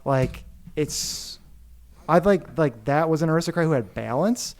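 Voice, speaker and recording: A man hosting a casual podcast, thinking aloud in a halting way and easily amused. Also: fairly high pitch, lively intonation, a little mellow. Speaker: podcast host. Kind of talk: casual chat.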